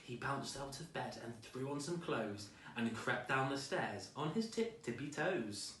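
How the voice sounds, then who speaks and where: A man's voice: medium pitch, expressive, a little slow, fairly bright tone, slight background noise. A man reading a children's storybook aloud with dramatic, rhythmic delivery, recorded at a distance in an echoey room.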